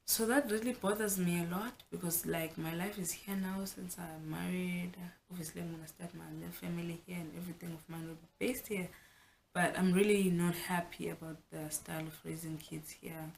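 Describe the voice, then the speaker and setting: A woman's voice: fairly low pitch, steady tone, moderate pace, vocal fry, slight background noise, low-quality recording. A young South African woman whose first language is Xhosa, talking frankly and chattily to the camera in a casual home vlog, often restarting her sentences. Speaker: vlogger.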